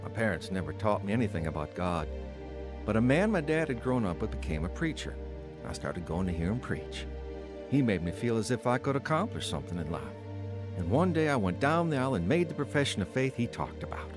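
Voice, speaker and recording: A man's voice: fairly low pitch, very animated, brisk, over music. An actor playing a troubled man who earnestly narrates his own unhappy youth in an audio drama. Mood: somber reflective. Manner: earnest, confessional.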